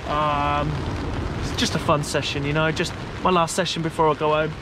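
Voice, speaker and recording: A man's voice: on the high side, level tone, normal speed. A man chatting casually and hesitantly to camera as a fishing vlogger. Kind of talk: casual vlog chat to camera. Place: outdoors on a stormy beach under an umbrella. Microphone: camera at arm's length. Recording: clear rain and weather noise outdoors. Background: rain.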